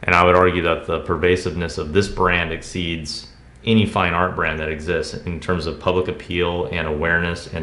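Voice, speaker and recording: An American man presenting collectibles in a casual, unscripted video, speaking in a halting but emphatic sales style. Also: extremely low pitch, a bit bright, slight background noise. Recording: roomy, echoing indoor sound.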